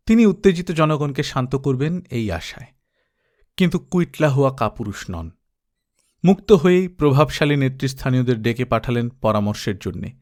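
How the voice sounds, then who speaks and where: A man reading an adventure novel aloud as narrator, steady and dramatic. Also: medium pitch. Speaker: audiobook narrator. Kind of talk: storybook reading.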